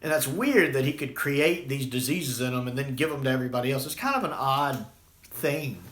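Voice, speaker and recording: An echoey room surrounds a man's mid-pitched voice as he talks through a review off the cuff. He rambles conversationally, like a reviewer sharing opinions without a script. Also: brisk, a bit bright. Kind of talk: casual unscripted review.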